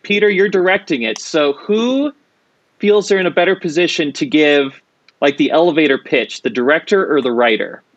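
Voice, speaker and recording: A man hosting a casual interview, talking in a relaxed, chatty way with lots of fillers. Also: a little high, moderate pace, dry recording, muffled recording. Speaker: interview host.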